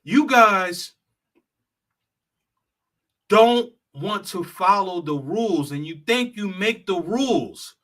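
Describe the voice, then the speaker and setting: Blunt, bright-voiced man lecturing hard. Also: high voice, animated, takes it slowly, pauses often. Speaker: travel consultant and vlogger. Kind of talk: heated straight-talk monologue.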